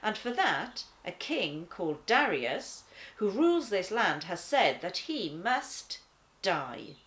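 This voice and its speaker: A woman voicing a calm, patient, courteous guardian angel who explains things in a children's storybook. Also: mid-range voice. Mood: calm, solemn. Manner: measured explaining, reassuring.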